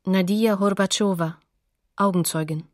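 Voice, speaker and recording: A woman's voice: mid-range voice, steady tone, normal speed, a little mellow, no echo, clean studio sound. A woman announcing sources and dates tersely for a scripted radio documentary.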